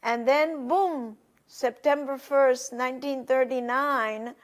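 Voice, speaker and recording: An older woman whose first language is Polish, recalling her past slowly and hesitantly in an oral history interview. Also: high-pitched. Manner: slow, hesitant, reflective, many pauses and fillers. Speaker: interviewee, testimony witness. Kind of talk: recorded oral history testimony.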